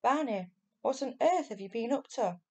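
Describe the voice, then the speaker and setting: A woman voicing a brisk, fond but scolding grandmother in a children's storybook reading. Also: medium pitch, gravelly, darkish tone, natural voice. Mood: exclaiming, scolding.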